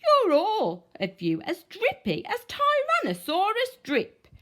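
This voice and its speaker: A woman voicing a gruff, bossy father tyrannosaurus who talks brusquely. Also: very high-pitched, huge pitch swings, slow, fairly bright tone, pitched above the performer's own voice, exaggerated voice. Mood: scolding. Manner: brusque and commanding.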